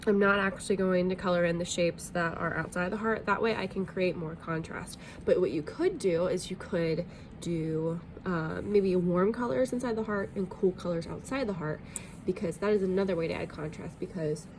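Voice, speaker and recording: A woman's voice: medium pitch, a little hiss or hum. A woman art teacher talking through a drawing tutorial in a friendly, chatty way, a bit sniffly from allergies, with plenty of ums.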